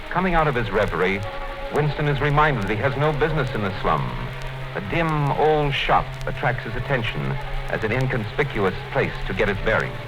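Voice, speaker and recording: Measured male radio narrator. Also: medium pitch, poor audio. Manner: measured, formal storytelling. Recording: old radio broadcast with music under it.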